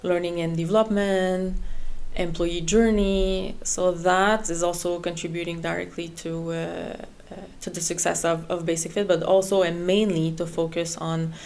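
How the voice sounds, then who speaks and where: A woman's voice: on the low side, level tone, moderate pace, darkish tone. A middle-aged woman from French Canada with a French Canadian accent, speaking English as a guest with many fillers and repeated words. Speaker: head of HR, guest. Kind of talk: interview chat.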